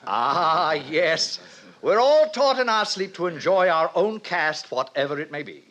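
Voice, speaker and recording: A self-satisfied male director instructing his students in old-time radio drama. His voice sits high and rings out brightly, swinging widely as he declaims each lesson with pompous relish. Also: lively intonation, unhurried. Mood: approving, didactic. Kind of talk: 1950s radio drama acting. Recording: vintage 1950s radio recording.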